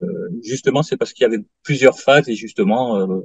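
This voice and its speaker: A man in his late fifties giving a halting testimonial in an interview, full of fillers and restarts. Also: medium pitch, darkish tone, slightly rough, no room sound. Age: 50s. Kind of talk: hesitant interview answers. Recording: dull lo-fi dry sound.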